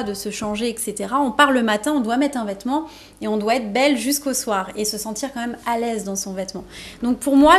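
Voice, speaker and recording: A young woman from France, a fashion designer, explaining her work eagerly in a TV interview. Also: on the high side. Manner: eager, explanatory, laughs easily. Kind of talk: TV interview answers. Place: TV studio.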